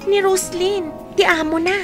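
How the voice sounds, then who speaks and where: A woman's voice: very high-pitched, fairly even intonation, measured pace, music in the background. An actress playing a cheeky, plucky young woman in a radio drama. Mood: chatty. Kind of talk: Ilocano radio drama acting.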